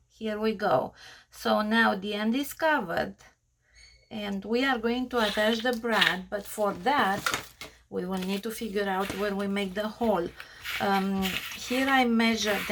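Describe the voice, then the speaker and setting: Slow Romanian-accented woman with a gravelly voice. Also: medium pitch. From Australia. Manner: halting, searching for words, many fillers. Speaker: craft tutorial host. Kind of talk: crafting tutorial narration.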